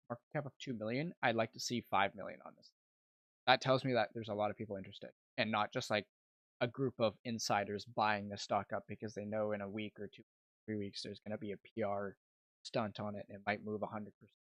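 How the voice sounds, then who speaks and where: A man's voice: on the low side, a bit bright, no echo, lo-fi sound. A young man giving casual, informal live trading commentary as a livestream host, thinking aloud as he goes. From Canada. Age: young adult. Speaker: livestream host, amateur day trader.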